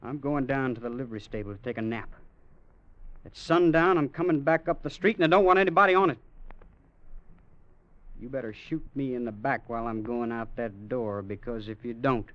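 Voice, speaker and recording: An actor playing a grim young gunfighter in a radio western, speaking in deliberate, clipped phrases. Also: male voice, medium pitch, fairly bright tone, natural voice. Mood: cold menace. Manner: deliberate, clipped, menacing pauses. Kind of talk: 1950s radio western acting. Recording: muffled old-time radio recording.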